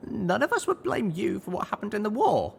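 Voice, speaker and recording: A man voicing an earnest, apologetic young pony heroine with a halting manner in a story reading. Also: high-pitched, wildly swooping pitch, vocal fry, a little hiss or hum, pitched above the performer's own voice, exaggerated voice.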